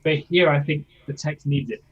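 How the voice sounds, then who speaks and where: A man's voice: a little high, flat delivery, moderate pace, a bit bright, husky, muffled recording. A man coaching a singer in a masterclass, explaining things and checking in with "yeah?" as he demonstrates lines himself. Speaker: vocal coach.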